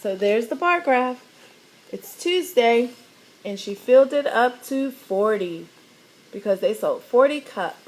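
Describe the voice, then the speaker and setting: A woman reading a children's picture book aloud, animated and teacherly, pausing to explain the pictures. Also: a little high, low-quality recording.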